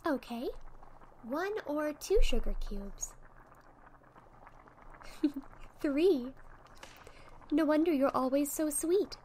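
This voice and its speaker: A woman playing a sweet, encouraging young witch in an ASMR roleplay, speaking in a gentle, soothing manner. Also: high-pitched, a little hiss or hum, rough recording. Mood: fond, teasing. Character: sweet, encouraging young witch tutoring her shy friend. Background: soft potion bubbling and fizzing effects.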